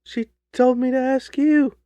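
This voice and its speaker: Small, cringing weasel of a man, high-pitched and whiny, timid storybook character played by a male narrator. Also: little pitch movement, a little slow, dark tone, dry recording. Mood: whining, nervous. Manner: whining, hesitant, timid. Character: little rat-faced, cringing, whining man.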